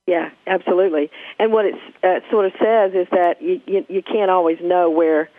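A woman's voice: lowish voice, fairly even intonation, normal speed, no echo. A woman interviews in an earnest, effusive manner, choosing reflective and literary phrases. The sound is narrow and muffled like a phone line, yet very dry, with no room around her. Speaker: talk show host and interviewer. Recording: muffled phone-grade radio audio.

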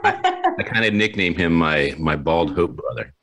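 Very low-voiced guy hosting a chat show, upbeat, keeps repeating himself. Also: huge pitch swings, moderate pace, a bit bright. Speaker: podcast host. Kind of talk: casual interview chat.

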